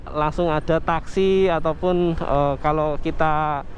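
Chatty high-voiced man narrating from a motorbike. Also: steady tone, slow pace, muffled recording, slight background noise. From Indonesia. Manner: chatty, loose, many fillers, keeps addressing viewers. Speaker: motovlogger. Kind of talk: casual running commentary while riding. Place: on a motorcycle on city streets outdoors.

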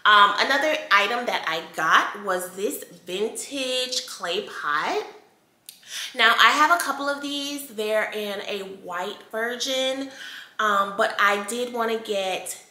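A woman's voice: a little high, animated, slow, sharp tone. An American woman in her thirties chatting casually and emphatically to viewers as a home decor vlogger. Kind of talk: casual haul chat to viewers. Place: bright indoor room at home, seated at table. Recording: echoey room.

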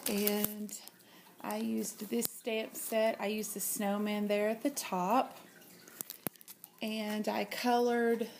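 A woman's voice: on the high side, a little mellow, a little hiss or hum. A woman with a cold and a nasal voice, chatting casually as she shows handmade cards at home, hesitating often with ums. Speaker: craft vlogger. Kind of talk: casual show-and-tell. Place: home, at a table.

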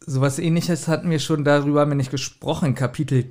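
A man's voice: fairly high pitch, fairly even intonation, quick pace, darkish tone. A man, talking casually as a podcast co-host. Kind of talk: casual chat.

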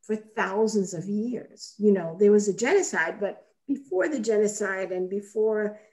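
A woman's voice: mid-range voice, measured pace, dark tone. An older woman whose first language was Armenian talks on a video call from her home kitchen. Her speech is chatty and anecdotal, full of "you know" and "like". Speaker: participant.

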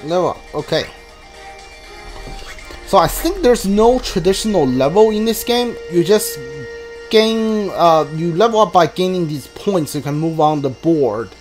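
Male game streamer, slightly high pitch, offhand and hesitant play-by-play over faint music. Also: animated, unhurried, a little mellow. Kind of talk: casual gameplay commentary. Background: video game music.

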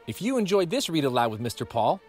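A young man reading a storybook aloud to children in an animated, expressive way, recorded in a living room. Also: a little high, fast talker, faint music behind. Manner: animated and expressive, dramatic swings in tone. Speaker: read-aloud host. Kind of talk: storybook read-aloud narration. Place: indoor living room with sofa.

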